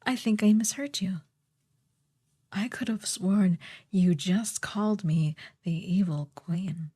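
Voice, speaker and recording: A woman playing a haughty, menacing sorceress queen in an ASMR fantasy roleplay, speaking softly and condescendingly, often close to a whisper. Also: medium pitch. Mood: offended, menacing. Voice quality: soft, breathy, partly whispered. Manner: slow, condescending, commanding.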